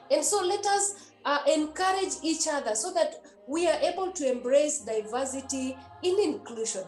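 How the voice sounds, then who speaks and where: Nun, high voice, earnest and repetitive preaching to youth; roomy echo, faint music underneath. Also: female voice, darkish tone. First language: a Kenyan language. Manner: earnest and repetitive, with many hesitations. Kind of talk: exhortation to young people.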